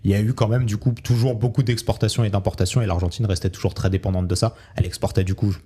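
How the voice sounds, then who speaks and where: A man's voice: on the low side, steady tone, very fast, mellow, slightly rough. A young man hosting a casual podcast, explaining history loosely with many fillers. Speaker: podcast host.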